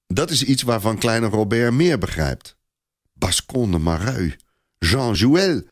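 A man with a low voice reads a story aloud as narrator. His delivery is expressive and deliberate, with lively rises and falls, recorded dry and close. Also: no room sound. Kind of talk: dramatised storytelling, scripted narration.

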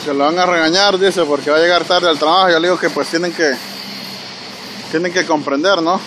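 A man's voice: a little high, fairly even intonation, brisk. A man, narrating a casual walking vlog outdoors on a phone, chatty and speaking straight to his viewers. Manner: chatty, addresses viewers directly, frequent "¿verdad?". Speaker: vlogger walking and filming. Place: snowy city street outdoors. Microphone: handheld phone camera. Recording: outdoor street noise, passing traffic.

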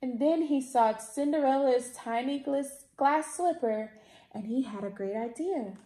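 High-pitched woman reading a storybook. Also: warm tone. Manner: deliberate, theatrical emphasis. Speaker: library storytime reader. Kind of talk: expressive children's picture-book read-aloud. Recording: roomy indoor echo.

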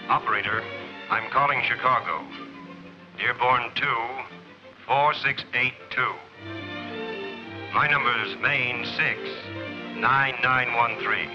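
A man playing a polite American businessman on a long-distance call in a 1950s promotional film, speaking deliberately. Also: mid-range voice, over music, lightly acted. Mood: businesslike. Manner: slow, deliberate dictation of numbers. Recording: dull vintage film soundtrack.

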